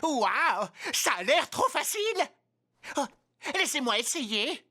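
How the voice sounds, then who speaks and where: Cartoon casting: petulant, whiny character, bright high voice, slow dramatic complaints. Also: female voice, big pitch swings, husky, heavily acted. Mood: astonished, whiny. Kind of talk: animated cartoon dub.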